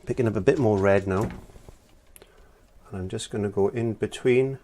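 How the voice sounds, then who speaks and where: A middle-aged man from Wales with a croaky voice from laryngitis, talking plainly step by step as he teaches acrylic painting. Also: fairly low pitch, normal speed, dark tone, a little hiss or hum. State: hoarse from laryngitis. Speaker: art teacher. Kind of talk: painting tutorial.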